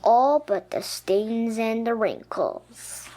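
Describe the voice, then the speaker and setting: A woman reading a children's picture book aloud expressively, with dramatic, emphatic pauses. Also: fairly high pitch, expressive, dark tone. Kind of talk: expressive children's storybook narration. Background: music.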